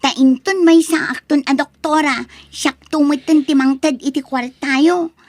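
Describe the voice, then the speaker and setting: A woman's voice: high-pitched, fairly even intonation, measured pace, a little mellow, slight background noise. A woman playing a spoiled, haughty college-age daughter in an audio drama, whiny, scornful and demanding. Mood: whiny, entitled. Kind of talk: Ilocano audio drama acting.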